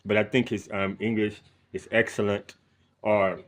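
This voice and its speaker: A man hosting a casual interview, speaking in halting phrases and dropping in the odd Spanish word. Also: fairly low pitch, flat delivery. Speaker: host, interviewer.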